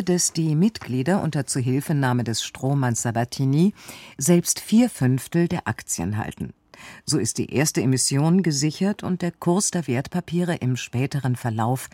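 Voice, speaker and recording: A man's voice: medium pitch, dark tone, no echo. A man reading a scripted synopsis as the narrator of a radio drama. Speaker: narrator giving the recap.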